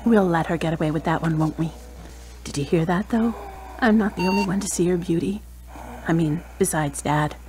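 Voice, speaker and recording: An actress playing a grieving adult daughter in an audio drama, talking tenderly and plaintively. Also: female voice, on the low side, moderate pace, natural voice. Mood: tender, wistful. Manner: tender, plaintive, talking softly to a loved one. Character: grieving adult daughter at her mother's deathbed.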